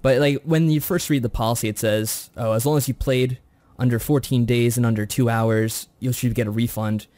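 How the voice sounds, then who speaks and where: A man co-hosting a podcast, discussing things casually in a measured, agreeable way. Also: mid-range voice, fairly even intonation, darkish tone, no room sound. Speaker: podcast co-host.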